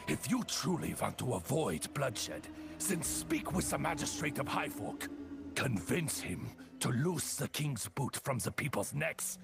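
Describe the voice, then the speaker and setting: An actor playing a defiant, righteous rebel leader in a video game, speaking with impassioned, formal oratory. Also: male voice, medium pitch, sing-song, unhurried, creaky. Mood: earnest, impassioned. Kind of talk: dramatic game voice acting. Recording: game music behind the voice.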